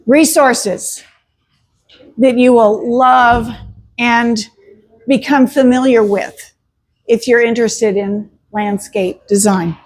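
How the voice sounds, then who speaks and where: A woman presents a gardening talk in a chatty, emphatic way. She speaks slowly and unhurriedly, her voice sitting a little high, and laughs often between points. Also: steady tone.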